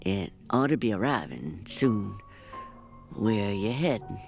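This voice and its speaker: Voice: sits low, animated, gravelly, a little mellow, soft background music, full character voice. An actor playing a hunched, folksy old woman innkeeper in an audio drama. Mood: matter-of-fact, kindly. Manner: slow, folksy, hospitable.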